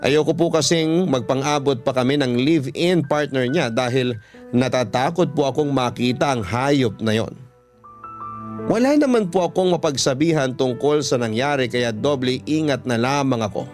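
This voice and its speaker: A man's voice: medium pitch, normal speed. Filipino man narrating a story over music. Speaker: radio storyteller reading a letter sender's story. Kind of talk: scripted dramatic first-person narration. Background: background music.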